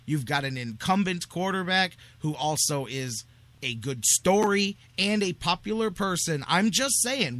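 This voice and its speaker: Male sports talk host. Higher-than-average pitch, very forceful tone, argumentative and emphatic. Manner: emphatic, argumentative, repeats phrases for stress. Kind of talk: sports talk radio debate.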